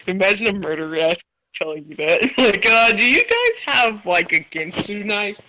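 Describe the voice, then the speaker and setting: Loud, brash young woman. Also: mid-range voice, big pitch swings, unhurried, poor audio. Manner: loud, swearing, playful trash talk. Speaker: podcast host. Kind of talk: casual gaming chat.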